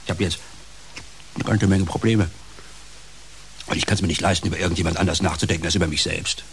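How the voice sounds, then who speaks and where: A man's voice: deep voice, fairly even intonation, fast talker, a little hiss or hum. A male actor playing a grumpy, self-centred uncle in a German radio play, grumbling with impatient sarcasm. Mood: sour grumbling.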